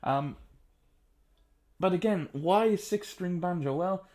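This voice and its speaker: Chatty baritone man teaching banjo. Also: darkish tone, faint music behind. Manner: chatty, unhurried, frequent ums and uhs. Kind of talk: relaxed spoken music tutorial. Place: seated on a sofa at home.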